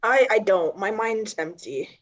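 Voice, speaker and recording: A woman's voice: medium pitch, lively intonation, unhurried, dull audio. A woman from Canada chatting casually on a practice date, giggling often and hedging what she says. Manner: giggly, hesitant, self-deprecating. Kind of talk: casual chat.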